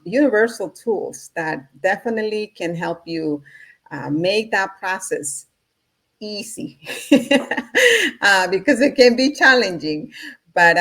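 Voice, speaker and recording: A middle-aged woman with a somewhat low voice. She speaks eagerly and breaks into laughter often. She sounds like an online video call guest. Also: a bit bright. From Honduras. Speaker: guest, holistic business teacher. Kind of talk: casual interview talk.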